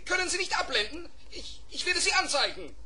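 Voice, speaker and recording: Shrill, thin-voiced outraged little man. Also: big pitch swings, brisk, bright, forceful tone, full character voice. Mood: furious, indignant. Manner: indignant, blustering. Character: skinny, fussy, outraged little male fruit wholesaler. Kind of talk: 1970s German children's radio play acting.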